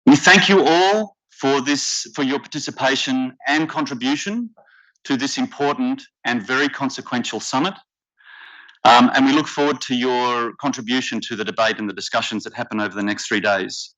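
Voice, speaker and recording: An Australian man stands at a podium and welcomes a conference audience. His voice is bright and forceful, and he speaks in a measured, deliberate way. The recording sounds a little muffled and lo-fi. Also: medium pitch. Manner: measured, deliberate delivery with occasional fillers. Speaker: workshop facilitator and emcee. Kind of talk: formal conference welcome speech. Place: conference hall stage. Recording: slightly dull lo-fi conference audio.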